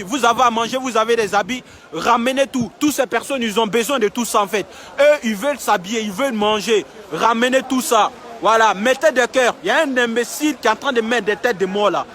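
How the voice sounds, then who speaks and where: A man's voice: very high voice, brisk, fairly bright tone. A young man livestreaming outdoors on his phone, talking emphatically and repeating himself as he urges viewers. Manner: emphatic, repetitive, urging viewers. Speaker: live-streaming vlogger. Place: outdoors in a city street camp. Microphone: phone held while filming.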